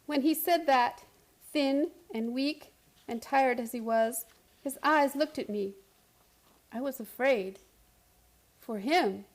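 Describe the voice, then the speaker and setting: A woman's voice: high voice, slow, long pauses, exaggerated voice. A woman acting in a radio play as an anxious, caring character who narrates and speaks in an earnest, hesitant, emotionally heightened way. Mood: troubled narrating. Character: anxious, caring young woman recounting a strange event.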